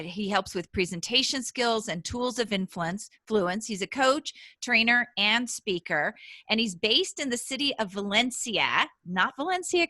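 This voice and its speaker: A woman hosts a chatty podcast interview, her voice bright and forceful. She laughs often and trips over her own sentences as she goes. The sound is dry and close, with no room in it. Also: mid-range voice, dry recording. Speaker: podcast host.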